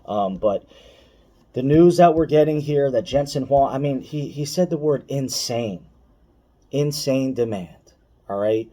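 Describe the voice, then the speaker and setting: A man's voice: mid-range voice, animated, unhurried, warm tone. A man giving casual, opinionated finance commentary for online viewers, speaking emphatically, repeating key points and addressing the listener directly.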